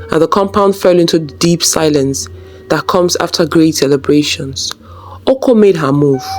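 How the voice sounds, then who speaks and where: Folktale storyteller with music underneath. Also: mid-range voice. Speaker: storyteller, narrator. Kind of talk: scripted folktale narration.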